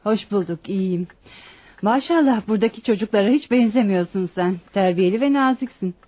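A woman's voice: mid-range voice, expressive, moderate pace, dark tone, lightly acted. Actress as chatty gossipy landlady. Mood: friendly, flattering. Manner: chatty, complimentary. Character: friendly, gossipy widowed landlady. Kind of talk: radio theater acting.

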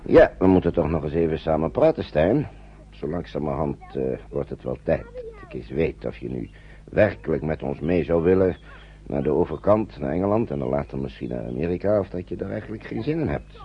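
Very deep-voiced, fatherly older uncle. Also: expressive, brisk, a little hiss or hum. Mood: earnest, cautioning. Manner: avuncular, hesitant, repeats his words. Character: kindly, fatherly older uncle guiding refugee children to England. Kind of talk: Dutch radio drama acting. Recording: dull, lo-fi old radio recording.

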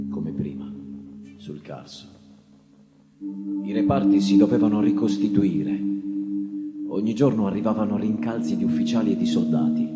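A low-voiced man acting as a wistful First World War officer recites his memories of the trenches like literature on a stage. He stretches words and lets his emphasis swell and fade, in a strongly echoing sound over prominent guitar and percussion music. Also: lightly acted. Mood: somber, reflective. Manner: theatrical, with drawn-out words and swelling and fading emphasis. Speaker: actor, reciting voice.

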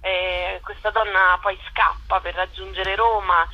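A woman's voice: medium pitch, level tone, unhurried, dull audio. A woman, a theatre maker, answering interview questions over the telephone in a hesitant way, searching for words and repeating phrases. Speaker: guest, theatre author and actress.

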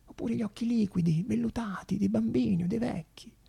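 A man narrating as a theatrical storyteller, hushed and lingering, with deliberate pauses. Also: sits high, creaky, breathy edge. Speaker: actor-narrator.

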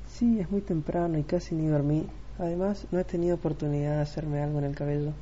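A vain, theatrical dandy acted by a woman in an amateur radio play. The voice is low and affected, full of flirty self-admiration. The recording is muffled, with faint noise behind it. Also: fairly even intonation, quick pace, warm tone, lightly acted. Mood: aggrieved vanity. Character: vain, flirty, theatrical man obsessed with his looks. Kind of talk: amateur Spanish-language radio play acting.

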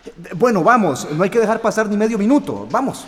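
High-voiced insistent male teacher. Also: very animated, fast talker. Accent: Costa Rican. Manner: urgent, insistent. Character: determined, indignant male teacher standing up for youngsters. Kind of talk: radio drama dialogue. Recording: reverberant hall, clear crowd murmur.

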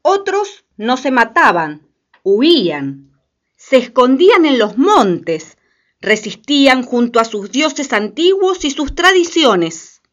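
A woman's voice: high-pitched, very animated, takes it slowly. A woman reading scripted narration for a radio play. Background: faint music.

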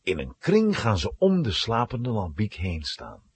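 A man giving dramatic, expressive scripted narration of a children's story. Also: lowish voice, a little slow, husky, no room sound.

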